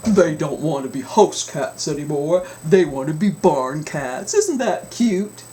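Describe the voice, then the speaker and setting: A woman voicing a proud, naive male farmer in a storybook, shouting and sighing. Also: low-pitched, animated, unhurried. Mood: fond.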